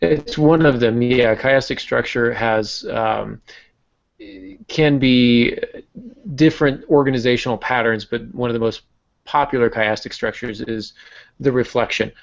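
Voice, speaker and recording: A man with a mid-pitched voice hosts a casual discussion in an analytical, teacherly way. He poses questions and answers them himself, over dull, lo-fi online audio. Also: steady tone, measured pace, vocal fry. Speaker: podcast host.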